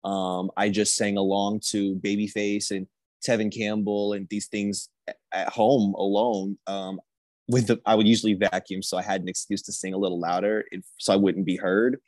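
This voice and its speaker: Soft-spoken man with a very dry sound. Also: fairly low pitch, little pitch movement, moderate pace, dry recording. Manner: soft-spoken, self-deprecating, lots of fillers. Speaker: singer guest. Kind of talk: casual podcast conversation.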